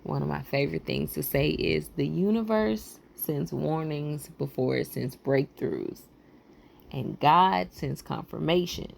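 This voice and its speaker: A woman hosting a motivational podcast, talking in a loose, rambling way with a raspy, froggy voice, hoarse and short on sleep. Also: deep voice, a little slow, a little mellow, a little hiss or hum. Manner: loose, rambling encouragement with casual slang. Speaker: podcast host.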